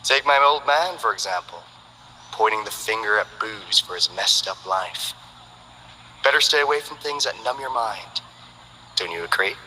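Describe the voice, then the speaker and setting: An actor playing a smooth-talking, friendly male info broker, with teasing, salesman-like banter in game character voice lines. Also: lowish voice, expressive, moderate pace, sharp tone, big-room sound, a little hiss or hum, poor audio. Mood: breezy.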